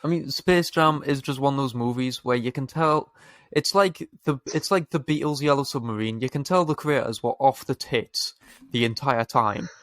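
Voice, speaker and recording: A man's voice: medium pitch, normal speed, a bit bright, dry recording. Sweary man, dry close mic. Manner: sweary, rambling, laughs while talking. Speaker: podcast co-host. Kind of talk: casual podcast banter.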